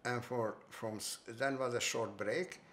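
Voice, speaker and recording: An older man with a Hungarian accent, recounting his life story in a halting way, restarting and repeating phrases, in a living room. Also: medium pitch, fairly even intonation, a bit bright, a little hiss or hum. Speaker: interviewee. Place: bright living room, seated on sofa.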